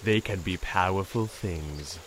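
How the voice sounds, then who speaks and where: An actor voicing an enormous talking toad, dignified, cryptic and dryly witty, with formal, measured speech in an audio drama. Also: male voice, low-pitched, fairly bright tone, a little hiss or hum, poor audio. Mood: stern.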